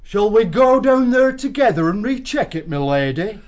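An actor playing a bullying weasel captain in an audiobook, sly and falsely respectful with menace underneath. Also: male voice, high-pitched, very animated, normal speed, highs cut off. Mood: sly, deferential. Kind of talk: full-cast audiobook acting.

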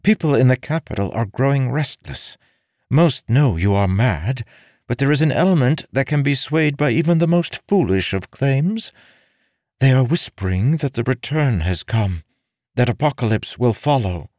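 A man's voice: mid-range voice, animated, moderate pace, a little mellow, dry recording, clean studio sound, voice pushed up, lightly acted. A man voicing a commanding, cool noblewoman in a fantasy audiobook, with a curt, imperious manner. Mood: cool, stern.

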